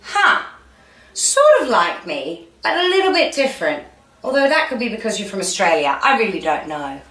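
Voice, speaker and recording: A woman's voice: mid-range voice, huge pitch swings, moderate pace, a bit bright. A woman voicing a kindly, uncertain fox in a children's storybook, pondering and drawing out words. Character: kindly, uncertain male London fox giving advice. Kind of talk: children's storybook read-aloud. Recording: echoey room.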